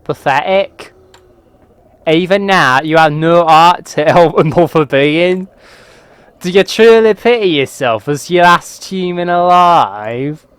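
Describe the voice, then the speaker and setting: A man's voice: fairly high pitch, slow, a bit bright, smooth, no echo. A man voicing a scheming talking raven in a game, using a put-on Scottish and northern English accent. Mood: menacing.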